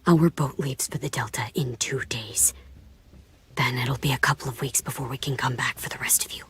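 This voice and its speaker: A woman playing a grim, conflicted young raider soldier in a game drama, speaking in a breathy hush with terse urgency. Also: a bass voice, steady tone, moderate pace. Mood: urgent warning. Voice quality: breathy, hushed. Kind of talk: video game dramatic acting.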